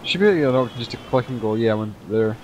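A man playing a survival game with a friend, chatting casually with loud teasing outbursts and swearing. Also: medium pitch, expressive, fast, dull audio, a little hiss or hum. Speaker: fellow player. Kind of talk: casual gaming chat.

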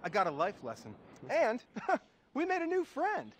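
A man's voice: very high-pitched, huge pitch swings, normal speed, a bit bright, slight background noise, heavily acted. A man playing a naive, earnest, melodramatic young protégé in a satirical video game film. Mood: sincere. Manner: wide-eyed, overwrought, melodramatic.

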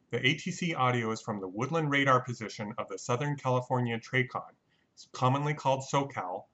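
A man giving a measured, matter-of-fact scripted technical narration as an explainer. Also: mid-range voice, fairly bright tone, lo-fi sound. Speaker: narrator of a flight reconstruction.

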